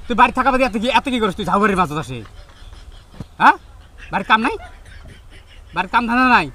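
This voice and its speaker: A man's voice: sits high, lively intonation, fast, pushed and bright, a little hiss or hum. A man playing an angry rural father from a comedy skit, scolding and repeating questions in a North Bengal village accent. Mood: scolding, suspicious. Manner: hectoring, repeats questions insistently. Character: angry scolding village father confronting his stoned son. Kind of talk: amateur comedy skit acting.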